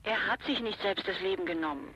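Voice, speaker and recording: Wanted: radio-play sister, forceful husky tone, clipped answers, guarded and defensive. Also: female voice, mid-range voice, quick pace, highs cut off. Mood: guarded, bitter. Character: dead man's curt, guarded housekeeping sister. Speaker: actor in an audio drama. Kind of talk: German crime radio play acting.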